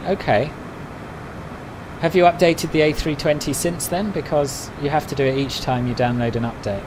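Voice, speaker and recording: A man's voice: fairly high pitch, fairly even intonation, moderate pace, noisy background. A man chatting casually on a live stream, answering viewers' messages one by one. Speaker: flight sim streamer.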